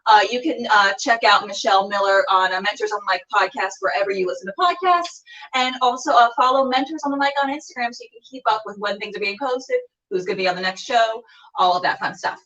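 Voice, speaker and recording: A woman's voice: medium pitch, quick pace, pushed and bright, recorded from a distance, poor audio. A woman hosting a casual livestreamed show, chatting and making announcements in a gushing, effusive way. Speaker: show host.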